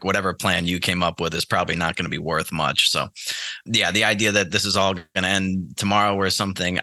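Low, very bright-voiced male podcast guest. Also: dry recording. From United States. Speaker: podcast guest, trader and former institutional investor. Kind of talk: casual interview talk.

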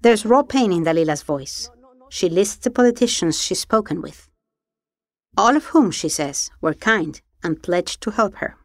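A woman hosting an investigative documentary, giving measured, serious scripted narration. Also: on the low side, lively intonation, no room sound, studio quality. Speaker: documentary podcast host and narrator.

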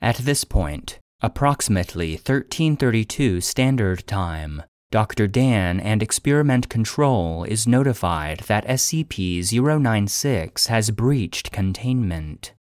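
A man's voice: fairly low pitch, expressive, unhurried, few pauses, a little mellow, no echo. A man voicing an impersonal facility database, reading classified logs in a formal, clinical manner. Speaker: database narrator reading an incident log. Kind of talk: scripted narration.

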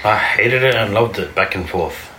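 A man's voice: lowish voice, normal speed, background noise. A man with an Australian accent, a podcast guest talking casually in short, laid-back replies. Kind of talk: casual conversation. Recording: echoey room.

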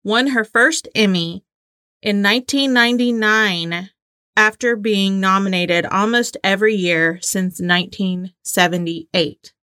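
A woman's voice: medium pitch, a little slow, sharp tone, clean tone, dry recording, clean studio sound. A woman co-hosting a trivia game in casual chat, with a playful, teasing manner. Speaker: co-host of a trivia game podcast.

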